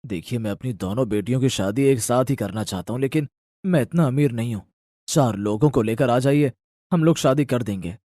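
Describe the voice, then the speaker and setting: A man's voice: mid-range voice, a little fast, dark tone, dry recording, studio quality, lightly acted. A man voicing a humble, ageing poor farmer and devoted father, pleading in an audio story.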